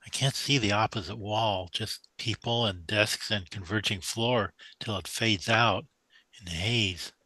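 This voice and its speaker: A man's voice: fairly low pitch, a little slow, pushed and bright, slightly rough, dry recording, full character voice, poor audio. An actor playing a young male newcomer, awed and unsure, in a science fiction audio drama. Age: young adult.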